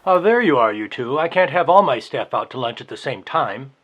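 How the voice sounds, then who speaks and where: A man's voice: medium pitch, animated, a little fast, a bit bright. A man playing an irritable male library boss in a dramatized novel reading, fussy, sarcastic and gossipy. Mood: irritated.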